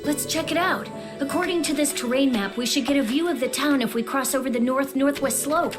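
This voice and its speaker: An actress voicing an earnest, wistful young boy in an anime audio drama, speaking in a solemn, deliberate dramatic style. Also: high-pitched, close to an everyday voice. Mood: hopeful, eager. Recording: loud music under the voice. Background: loud music.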